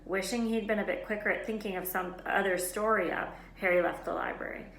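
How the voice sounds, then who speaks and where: A woman reading a children's novel aloud as an expressive storyteller. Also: medium pitch, fairly quick, creaky. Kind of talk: expressive scripted children's book narration. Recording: echoey room with faint noise.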